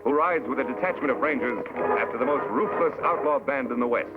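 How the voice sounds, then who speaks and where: A man's voice: mid-range voice, fairly quick, loud music behind the voice. A man with an American accent delivering dramatic scripted narration for an old-time western radio show. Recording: muffled old radio recording.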